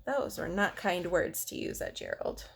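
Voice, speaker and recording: A woman reading a picture book aloud to children, expressive, with questions and asides to the listener. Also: on the low side, normal speed, creaky, breathy edge. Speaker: storyteller for children.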